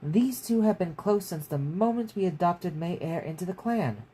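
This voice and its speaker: A man reading a story aloud as a gentle, protective mother, with a soothing, reasoning manner. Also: sits high, lively intonation, normal speed, pitched above the performer's own voice. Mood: gently pleading. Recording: clear background noise.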